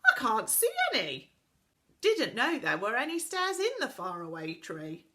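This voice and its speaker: Woman doing a loud deaf clanking little man. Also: a little high, wildly swooping pitch, measured pace, heavily acted. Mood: loud cheerful. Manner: loud, mishearing, earnest.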